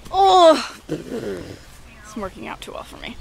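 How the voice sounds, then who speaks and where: High-pitched, excitable young woman vlogger. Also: slow, vocal fry. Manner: playful, excitable. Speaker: vlogger filming. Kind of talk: casual home vlog chat. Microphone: handheld camera. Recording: noisy handheld camera audio.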